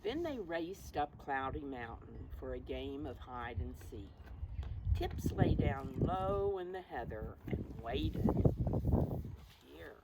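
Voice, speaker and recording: Slow, low-voiced woman reading to preschoolers. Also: very animated, heavy background noise. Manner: slow, simple phrasing that addresses small children. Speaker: preschool teacher reading a picture book aloud. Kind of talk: read-aloud story for young children with questions to listeners.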